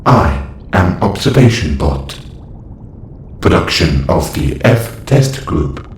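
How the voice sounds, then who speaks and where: A man's voice: low-pitched, lively intonation, slow. A man voicing a clinical, detached observation robot in an audio roleplay, speaking in a stilted, formal, matter-of-fact way. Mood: clinical, curious. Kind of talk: scripted audio roleplay monologue. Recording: roomy echo with faint noise.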